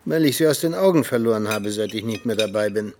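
An actor playing a disillusioned, hot-headed ageing former Soviet agent in a radio drama, with a sardonic manner. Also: male voice, on the low side, expressive, quick pace, a little mellow, slight background noise. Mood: probing, sardonic. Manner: heated, sardonic speechifying.

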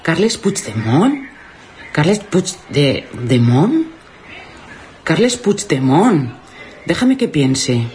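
A woman playing a scatterbrained, excitable young woman from Spain with a Spanish accent, slangy and full of repeated exclamations. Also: low voice, extremely slow, long pauses, noisy background, exaggerated voice. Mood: confused, excited. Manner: breathless exclamations, slangy repetition.

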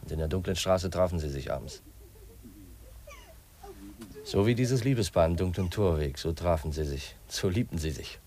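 Dreamy polite young orphan, low soft-mannered voice, earnest, 50s german radio drama. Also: male voice, normal speed, long pauses. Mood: wistful. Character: polite, wistful orphaned young man, twenty.

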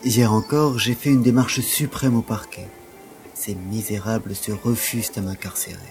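An actor playing an earnest, self-dramatizing gentleman lover in a French audio drama, speaking in a formal, literary way. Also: fairly low pitch, fairly even intonation, moderate pace, faint music behind, close to an everyday voice. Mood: anguished, solemn.

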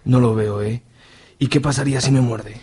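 A man's voice: mid-range voice, fairly even intonation, normal speed, warm tone, breathy edge, lightly acted. A man playing a nervous, fearful sidekick in an amateur comic radio soap, jittery and melodramatic.

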